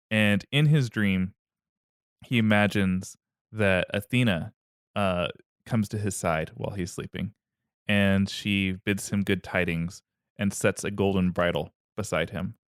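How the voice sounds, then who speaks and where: A man's voice: fairly low pitch, smooth, no echo, clean studio sound. A man hosting a comedy podcast, retelling a myth in a rambling, joking way. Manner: rambling, joking, filler-heavy. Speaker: podcast host, storyteller.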